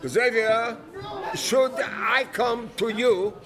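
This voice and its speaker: An actor playing an eccentric, music-loving man with a theatrical, grand, halting delivery in an audio drama. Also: extremely high pitch, pushed and bright, heavily acted. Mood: grand, cheerful.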